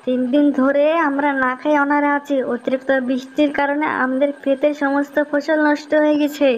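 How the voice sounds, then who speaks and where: A woman plays a careworn peasant mother in a cartoon fairy tale. Her voice is high, humble and plaintive. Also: little pitch movement, fairly quick, dull audio, natural voice. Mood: humble pleading.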